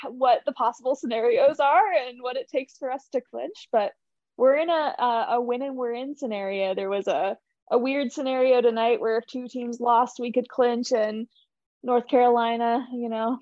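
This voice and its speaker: A woman's voice: fairly high pitch, darkish tone, dry recording, lo-fi sound. A young woman speaking as a podcast guest from a team's communications staff, chatting in an upbeat, earnest way. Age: young adult. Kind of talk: casual interview chat.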